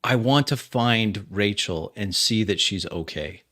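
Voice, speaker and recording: A man's voice: lowish voice, a little slow, pushed and bright. A man voicing a polite, rational academic investigator in a tabletop game, formal, concerned and hesitant. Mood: earnest, concerned.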